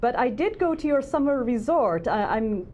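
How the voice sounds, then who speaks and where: A woman's voice: a little high, expressive, normal speed, a little mellow, lo-fi sound. A woman hosting an interview, asking polite and friendly questions. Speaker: host.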